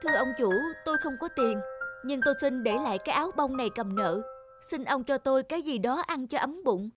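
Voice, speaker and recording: A woman's voice: sits high, lively intonation, brisk, loud music behind the voice. A woman playing a kind, sorrowful young maiden in a cartoon folktale, speaking politely and earnestly. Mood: sad, hopeful.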